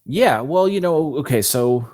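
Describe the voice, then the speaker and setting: A man's voice: fairly high pitch, expressive, measured pace, darkish tone, dry recording, studio quality. A man speaking as an interview guest, explaining things casually and thinking aloud as he goes.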